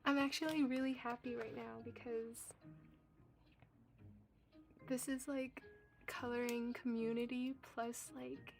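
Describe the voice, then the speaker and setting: A young woman, a native Cantonese speaker, chats at an unhurried pace with a slightly high voice, often breaking into giggles as she answers her viewers. Faint music plays underneath. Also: little pitch movement, gravelly. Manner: chatty, giggly, reads out and answers viewer comments. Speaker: live-stream coloring host. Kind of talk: casual chat with live chat readers.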